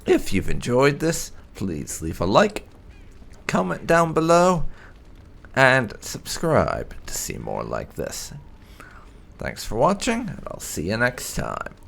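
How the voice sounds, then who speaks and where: A man gives casual, laid-back commentary over a video game. His delivery is slow and creaky, with a pitch that swings widely as he muses in blunt slang. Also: wildly swooping pitch, a bit bright. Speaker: gaming YouTuber. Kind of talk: casual let's play commentary with light roleplay.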